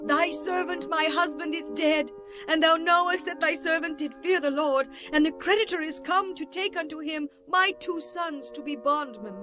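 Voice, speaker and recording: High female voice, actress playing an earnest biblical woman, solemn archaic phrasing, audio Bible drama, prominent music behind. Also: moderate pace, lightly acted. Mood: pleading. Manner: solemn, formal archaic delivery. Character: pleading, earnest biblical woman, devout widow or mother. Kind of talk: dramatized scripture audiobook acting.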